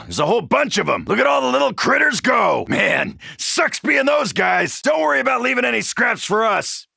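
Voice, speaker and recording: Game casting: brash, wisecracking American macho grunt, forceful gravel voice, dry close sound. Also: male voice, very high-pitched, no echo, heavily acted. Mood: excited, brash. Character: gruff, cocky macho soldier fighting zombies. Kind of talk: video game character voice lines.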